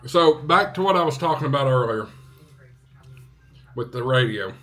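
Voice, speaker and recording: A man talking casually to viewers as a vlog host, in an unhurried, halting way with short phrases. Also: mid-range voice, lively intonation, a bit bright, faint music behind. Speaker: vlog host, ham radio hobbyist.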